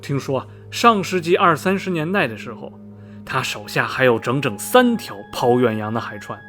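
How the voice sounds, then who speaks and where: A man's voice: mid-range voice, very animated, moderate pace, sharp tone. A man playing a chatty middle-aged railway station veteran in a radio drama, folksy and rambling, with many sighs and interjections. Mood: gossipy, knowing. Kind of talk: Mandarin radio drama dialogue, storytelling. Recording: music behind the voice. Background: background music.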